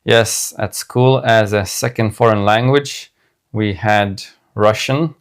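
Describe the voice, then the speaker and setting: A man with a Lithuanian accent, a native Lithuanian speaker co-hosting a casual podcast, speaking in measured, careful short phrases. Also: lowish voice, clear, resonant tone. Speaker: podcast co-host.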